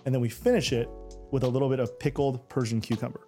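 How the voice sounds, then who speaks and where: A man's voice: medium pitch, steady tone, quick pace, dark tone, faint music behind. Male chef, mid-range voice, earnest food presenter. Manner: earnest and descriptive, talking through ingredients. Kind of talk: presenting a dish.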